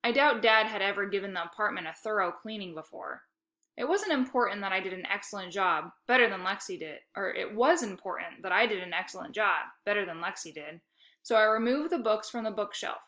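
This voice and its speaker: A woman reading a children's story aloud in an expressive, emphatic narrating style. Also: medium pitch, brisk. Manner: animated, emphatic dialogue.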